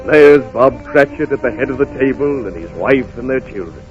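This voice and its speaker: A man acting a stern, solemn spirit in an old radio play. His declamatory voice sits at a middle pitch and swings widely as he commands and scolds. The recording is dull, with faint music underneath. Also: expressive, normal speed, close to an everyday voice. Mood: grave. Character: stern, commanding male Ghost of Christmas Present.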